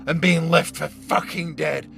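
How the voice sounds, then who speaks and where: A man acts out a cruel older leader of survivors in a grim audio drama. He speaks slowly and tauntingly, with a fried, gravelly edge and plenty of swearing. Faint music sits behind him. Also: on the high side, fairly even intonation, a bit bright, heavily acted. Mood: bitter, brooding. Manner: slow, taunting, sweary delivery. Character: menacing, sadistic older male leader of post-apocalyptic survivors.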